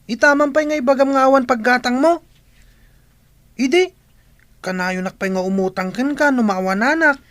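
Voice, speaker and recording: Casting: pushy young schoolboy for radio play; high, whiny voice, scolding and indignant. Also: normal speed, long pauses, a bit bright, no echo. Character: brash, indignant schoolboy classmate. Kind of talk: radio drama acting.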